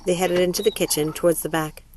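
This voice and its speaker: A woman's voice: lowish voice, fairly even intonation, fast, a little mellow. A woman narrating a novel aloud in scripted audiobook style. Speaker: narrator, story reader.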